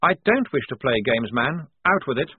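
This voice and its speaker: A man acts out a prim, well-mannered army officer from an audiobook. His voice sits high and leaps about as he pompously tries to seem firm while worried. The recording is narrow and thin, like a radio. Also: very animated, normal speed, husky. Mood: blustering. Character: nice, worried young male army officer feigning firmness.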